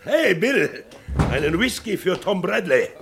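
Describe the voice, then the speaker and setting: An actor playing a boisterous, gruff Wild West sheriff in a German radio crime drama, gravelly and husky, with a blustering manner. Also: male voice, high-pitched, very animated, unhurried, noisy background, full character voice. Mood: hearty, boisterous. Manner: blustering, swings from hearty to hushed.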